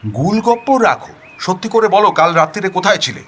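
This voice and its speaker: Guy doing an angry wife grilling her husband, really high and sharp, scornful, audio story w music. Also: sing-song, a little fast, a little hiss or hum. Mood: scornful, furious. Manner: scolding, sharp, accusing.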